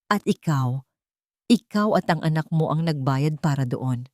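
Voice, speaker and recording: A woman with a Filipino accent voicing a proud male CEO, now humbled, pleading haltingly in a dramatic story. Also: sits low, lively intonation, a little mellow, no room sound. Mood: remorseful, pleading. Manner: halting, repeating phrases in pleading.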